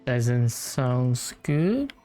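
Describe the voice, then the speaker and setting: A woman's voice: a bass voice, sing-song, drawn-out words, mellow, soft background music. A woman gamer making brief, informal reactions to game dialogue during a playthrough.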